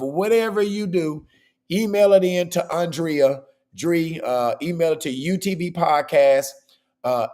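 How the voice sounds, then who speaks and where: A man hosting a podcast in a casual, chatty way. His voice is hoarse and worn, and he keeps checking in with "okay?". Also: a little high, darkish tone. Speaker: podcast host.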